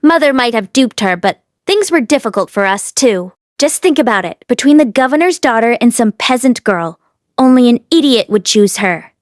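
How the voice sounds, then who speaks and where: Woman voicing a callous, sneering young man, lively pitch. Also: moderate pace, no room sound, studio quality, natural voice. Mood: smug contempt. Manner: scornful, dismissive, whispery gossip. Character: callous, sneering young brothers, gossiping spoiled men.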